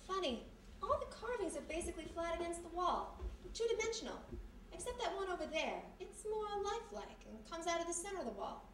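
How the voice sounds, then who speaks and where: A woman's voice: extremely high pitch, sing-song, brisk. A woman acting a sassy, slangy young ex-vaudevillian sidekick in an old-time radio mystery before a live house. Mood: curious. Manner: sassy, slangy, snappy delivery. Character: brassy, wisecracking young female former vaudevillian sidekick. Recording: echoey live stage, distant, faint noise.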